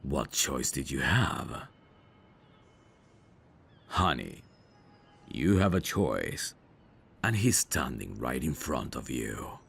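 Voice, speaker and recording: A man's voice: very deep voice, sing-song, takes it slowly, gravelly, long pauses, fairly bright tone, slightly rough, exaggerated voice. A man playing a suave, dangerous mafia boss in an ASMR roleplay, cocky, flirtatious and teasing. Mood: amused, concerned. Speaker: voice actor.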